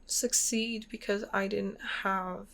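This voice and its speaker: A woman's voice: medium pitch, steady tone, slow, vocal fry, a bit bright. A woman in her twenties talking casually and openly to her channel, rambling with lots of fillers. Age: 20s. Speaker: YouTuber. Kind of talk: casual personal vlog.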